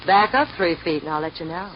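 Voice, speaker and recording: An actress playing a cool, teasing femme fatale in a 1940s radio crime drama. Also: female voice, lowish voice, lively intonation, brisk, close to an everyday voice. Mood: coy, teasing. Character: sultry, cool gangster's moll, teasing and dangerous woman. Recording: muffled old radio recording, faint hiss.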